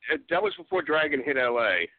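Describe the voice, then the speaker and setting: A man's voice: a little high, huge pitch swings, fairly quick, gravelly, dry recording. A man speaking as a special effects artist and guest in a casual interview. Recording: tinny phone line.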